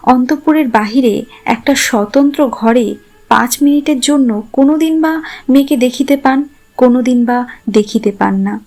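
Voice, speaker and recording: A woman's voice: high-pitched, normal speed, darkish tone. A woman reading a scripted literary story as its narrator. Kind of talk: scripted literary narration in formal Bengali prose.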